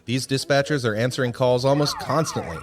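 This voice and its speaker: A man's voice: medium pitch, fairly quick, over music. A man hosting and narrating a scripted TV segment. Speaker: host and narrator.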